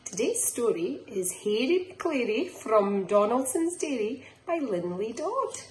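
A woman's voice: on the high side, very animated, a little slow, a little mellow. A middle-aged Scottish woman reading a picture book expressively to young children in a rhythmic, sing-song way, like a nursery teacher. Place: small living room, indoors. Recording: roomy echo, faint background noise.

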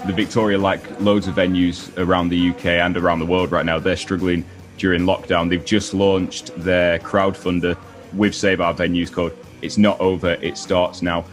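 A young man hosting a casual interview over a video call, asking relaxed conversational questions. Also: low-pitched, moderate pace, faint music behind. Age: young adult. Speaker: interviewer, host. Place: indoor home room, video call.

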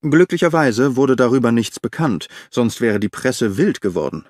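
A man's voice: medium pitch, big pitch swings, moderate pace, darkish tone, no room sound, studio quality. A man doing grave, weighty Mycroft Holmes, imperious and heavyset.

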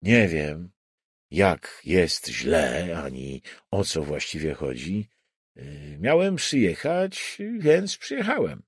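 A man's voice: deep voice, very animated, takes it slowly, husky, no room sound, clean studio sound, voice pushed down, exaggerated voice. A man voicing a wry, resigned male criminal profiler in an audiobook.

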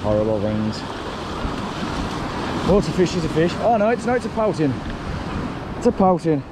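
Man's casual fishing commentary in loud wind. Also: a little high, sing-song, moderate pace. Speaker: fishing vlog host. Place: outdoors on a rocky jetty by the sea at night. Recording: outdoor mic with loud wind and surf. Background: loud wind and waves.

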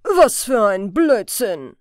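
Pompous male police superior, indignant and condescending, lively swooping delivery female reader acting, dry close sound. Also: fairly high pitch, slow pace, dry recording. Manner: haughty, scolding, emphatic. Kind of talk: audiobook character voice.

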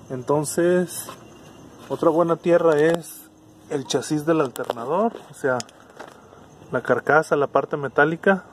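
Hombre mexicano explicando reparación de auto, voz algo aguda, ruido de fondo leve. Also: male voice, fairly high pitch, a little hiss or hum. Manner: casual, thinking aloud with restarts. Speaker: car electronics tutor. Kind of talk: hands-on repair demonstration.

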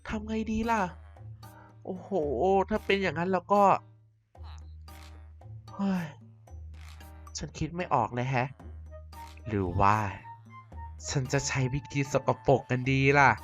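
A woman's voice: deep voice, big pitch swings, slow, long pauses, soft background music, heavily acted. A woman voicing a mischievous ancient vampire queen in a Minecraft cartoon, playfully scheming and muttering to herself.

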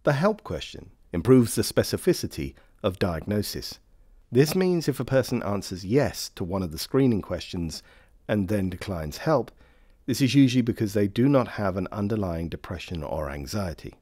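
A man reading scripted instructional narration for a health training film in a formal, measured way. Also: on the low side, very animated, mellow, no echo, studio quality.

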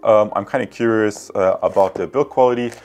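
A man in his thirties with a German accent, giving a casual, halting product review and unboxing to viewers. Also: fairly low pitch, darkish tone, slight background noise. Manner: hesitant, halting, addresses viewers as guys.

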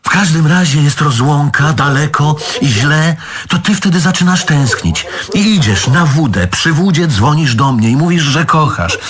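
A man's voice: on the high side, lively intonation, moderate pace, few pauses, fairly bright tone. An actor playing a bitter, needy middle-aged man in a stage play, arguing emphatically with his lover and catching himself mid-word. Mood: exasperated. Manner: emphatic, self-correcting, swallows curses mid-word.

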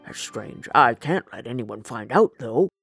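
A woman's voice: a bass voice, lively intonation, unhurried, breathy edge, faint music behind, pitched below the performer's own voice, full character voice. A woman voicing an uneasy, self-doubting nobleman in a storybook, musing in a secretive way.

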